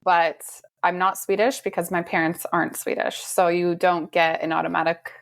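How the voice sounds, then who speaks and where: A woman in her thirties being interviewed on a podcast. Her voice sits a bit low and she talks in an easy, relaxed way, laughing lightly and filling pauses with ums. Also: creaky. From United States. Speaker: realtor guest. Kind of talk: casual interview chat.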